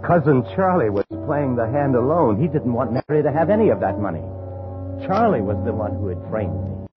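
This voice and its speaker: A man's voice: medium pitch, sing-song, brisk, natural voice. An actor playing a bitter, driven framed man in a 1950s radio thriller, speaking in a terse, brooding, intense way. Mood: stunned, grim realization. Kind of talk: 1950s radio thriller acting and first-person narration. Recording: muffled vintage radio, music behind.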